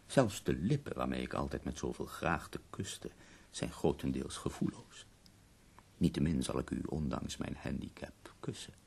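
A bedridden poet near death in a radio play. His voice is extremely low, gravelly and husky, and he speaks with wry, theatrical eloquence, leaving weary pauses between phrases. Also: exaggerated voice. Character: bedridden, dying poet, ironic, complaining and theatrical. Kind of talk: radio drama acting.

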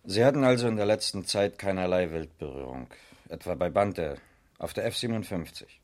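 Methodical detective, 1970s radio drama, fairly low register, short persistent questions. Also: male voice, lively intonation, a little fast, a little mellow. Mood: dry, probing. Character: methodical, patient male police investigator. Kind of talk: 1970s German radio crime drama acting.

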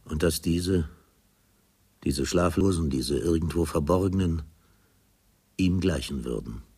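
An actor playing a grave, detached literary narrator in a radio drama, speaking in a measured, deliberate way. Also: male voice, sits low, a little mellow, slightly rough. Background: music.

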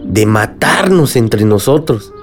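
A man's voice: mid-range voice, lively intonation, takes it slowly, soft background music. A man with a Mexican accent playing a middle-aged, remorseful village leader and father in a radio drama. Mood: remorseful, earnest.

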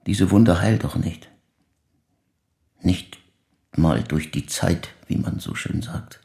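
An actor playing a grieving older man who speaks reflectively and slowly in a measured, pensive audio drama monologue. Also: dark tone, heavily acted. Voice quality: very deep, gravelly, breathy at phrase ends. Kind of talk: slow literary dramatic monologue.

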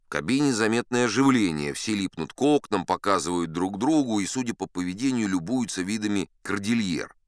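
A man's voice: on the low side, lively intonation, fairly quick, no room sound. A man narrating an audiobook in the first person, chatty and wry, as if talking to listeners. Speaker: audiobook reader. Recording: dry close studio.

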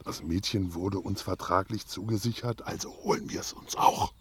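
An actor playing a menacing giant builder in a fantasy radio drama, blunt and commanding. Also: male voice, low-pitched, moderate pace, slight background noise, close to an everyday voice. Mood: menacing, demanding.

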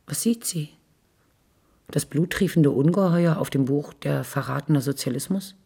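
A woman narrator reads literary prose in a very raspy voice. It is creaky and dark, at a medium pitch. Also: unhurried. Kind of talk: scripted literary narration with rhetorical questions.

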